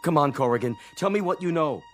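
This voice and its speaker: An actor in a radio play voicing an earnest, anxious police officer with an emphatic, troubled manner. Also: male voice, fairly high pitch, lively intonation, a little fast, natural voice. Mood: anxious, doubting. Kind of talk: dramatic radio play acting. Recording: music bed behind the voice.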